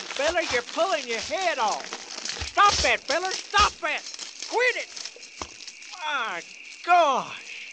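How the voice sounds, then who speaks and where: Casting: male actor as folksy trucker, high voice, easily rattled, stammering, comic horror radio play, noisy track with vehicle rumble. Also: full character voice. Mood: panicked. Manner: folksy, stammering, drawn-out hesitations. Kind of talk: comic horror audio drama acting.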